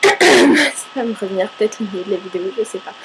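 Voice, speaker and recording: A woman's voice: medium pitch, lively intonation, fairly quick, background noise. A woman from Paris chatting casually to camera as she answers viewer questions, chatty and self-mocking, swearing casually and arguing back and forth with herself. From Paris, France. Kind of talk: casual FAQ chat to camera.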